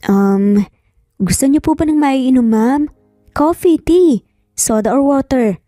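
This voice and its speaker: A woman's voice: high voice, lively intonation, slow pace, mellow, no echo, clean studio sound. A Filipino woman voicing a polite, eager young female office secretary in a narrated story, courteous and deferential. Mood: polite, restrained. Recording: background music under voice.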